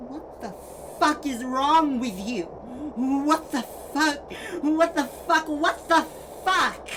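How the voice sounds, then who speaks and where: A woman playing a betrayed, wounded friend in an audio drama, speaking in a deliberate, accusing way. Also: high-pitched. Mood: hurt, furious. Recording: clear background noise and ambience.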